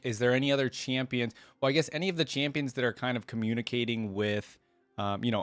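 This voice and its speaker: A man's voice: mid-range voice, no echo. Casual man, dry close sound. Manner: chatty, musing. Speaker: reaction video host. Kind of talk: casual off-the-cuff commentary.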